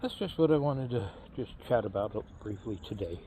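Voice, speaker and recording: Halting, low-voiced man in his fifties. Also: expressive, warm tone, slight background noise, low-quality recording. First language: English. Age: 50s. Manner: halting, many fillers and restarts. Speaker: vlogger. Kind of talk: casual personal talk to camera.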